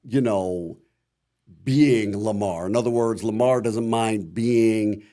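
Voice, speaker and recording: A middle-aged man with a deep voice and a Midwestern American accent, chatting reflectively as a voice actor guest in an interview. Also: slow, dark tone. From Akron, Ohio, USA. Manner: rambling, many "you know" fillers, reflective. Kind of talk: casual interview talk.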